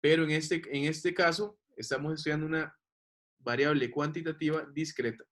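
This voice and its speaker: A man's voice: a little high, steady tone, moderate pace, fairly bright tone. A man teaching a class, explaining patiently and checking that the students follow. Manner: patient, checks understanding with questions.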